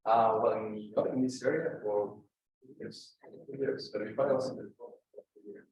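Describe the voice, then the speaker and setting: A man's voice: lowish voice, fairly even intonation, normal speed, a little mellow, a little hiss or hum. Man chatting far from the mic. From Peru. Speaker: seminar attendee greeting the speaker. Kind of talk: casual small talk. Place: seminar room. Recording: roomy, distant from the mic.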